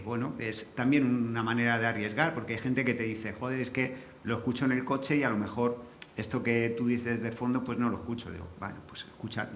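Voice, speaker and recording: A man's voice: medium pitch, vocal fry, a bit bright. A man with a Castilian accent from Spain, talking informally on a panel as a sound designer, colloquial and loose with swearing. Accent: Spanish from Spain. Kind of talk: informal panel talk with anecdotes. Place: indoor forum venue. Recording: echoey room, faint background noise.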